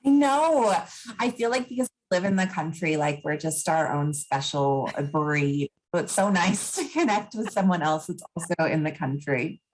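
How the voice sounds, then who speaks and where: A woman in her thirties with a Texan accent softened by years in Australia, chatting as a friendly, folksy podcast guest. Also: low voice, moderate pace, a little hiss or hum, rough recording. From Texas. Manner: friendly, folksy, laughs easily. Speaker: business coach, podcast guest. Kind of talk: casual interview chat. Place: family farm.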